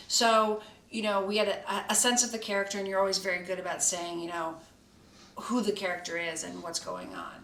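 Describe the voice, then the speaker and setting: A woman voice actor reflecting on her preparation in a relaxed behind-the-scenes chat, pausing often to find her words. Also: mid-range voice. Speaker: voice actor in the radio play. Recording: roomy echo, distant mic, faint noise.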